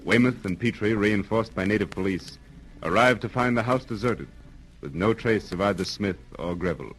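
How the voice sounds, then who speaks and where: A man narrating a 1930s radio serial from a script, with formal, dramatic, deliberate phrasing. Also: lowish voice, a bit bright, low-quality recording. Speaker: announcer and narrator. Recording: vintage radio recording with music behind. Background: music.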